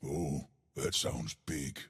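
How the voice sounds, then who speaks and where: Gravelly, booming giant stone brute. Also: male voice, a bit bright, full character voice. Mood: puzzled, musing. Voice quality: gravelly, husky. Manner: booming, hearty, slow and emphatic. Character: giant cheerful living stone colossus, brawling, dim, good-natured. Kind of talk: video game character voice lines.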